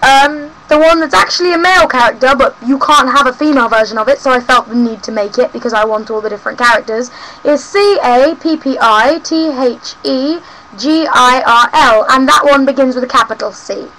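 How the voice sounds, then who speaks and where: Chatty high-voiced young London woman. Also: brisk, sharp tone, slight background noise, rough recording. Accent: London English. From South West London, England. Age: young adult. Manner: chatty, cheeky and ranting. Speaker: vlogger. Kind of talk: casual rambling vlog. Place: home room.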